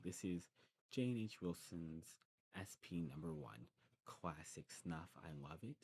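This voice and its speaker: A man's voice: low-pitched, mellow, exaggerated voice. A man playing a demon snuff shopkeeper in an ASMR roleplay, explaining patiently in a hesitant, rambling way. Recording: clearly noisy background.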